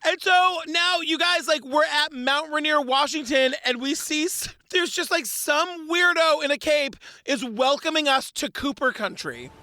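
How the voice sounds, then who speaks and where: A woman's voice: high voice, unhurried, few pauses, sharp tone, dry recording. A woman co-hosting a podcast, recapping true crime in a casual, incredulous and emphatic way. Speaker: podcast co-host.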